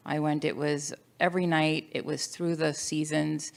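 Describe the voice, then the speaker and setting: A woman's voice: deep voice, flat delivery, normal speed, gravelly. A middle-aged woman telling her personal story as an alumni panelist in an emphatic, storytelling way. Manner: storytelling, emphatic, quotes herself.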